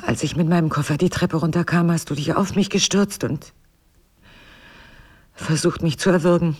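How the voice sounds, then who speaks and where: An actress in a naturalistic radio drama playing a guarded, weary wife who speaks in a measured, evasive, dryly sardonic way. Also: female voice, fairly low pitch, darkish tone, husky. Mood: weary, bitter. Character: guarded, weary wife burdened by a painful secret.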